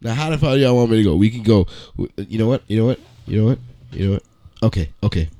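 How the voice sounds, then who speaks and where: A man co-hosting a podcast, giving a profane, slang-heavy mock-dramatic reading with theatrical pauses. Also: fairly low pitch, animated, warm tone, no echo. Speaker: podcast co-host.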